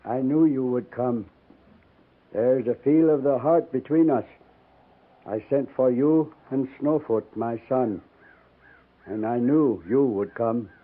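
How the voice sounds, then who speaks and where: Tinny old-radio dying old chief. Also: male voice, mid-range voice. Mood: solemn, affectionate. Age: older. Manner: solemn, measured, formal poetic phrasing. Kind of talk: 1950s radio drama acting. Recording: narrow tinny 1950s radio recording.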